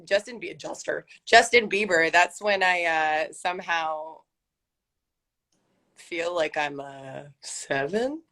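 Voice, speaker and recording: A woman actress being interviewed in a casual chat, relaxed and rambling, laughing often. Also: takes it slowly. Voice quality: calls her own voice deep. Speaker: guest actress.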